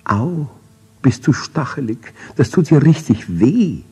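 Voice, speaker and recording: A small, tired migratory bird from a bedtime storybook, voiced by a male reader. The voice is thin and piping, lifted in pitch, with a husky edge and a plaintive manner. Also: big pitch swings, slow, mellow, heavily acted. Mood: hurt, surprised. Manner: weary, plaintive.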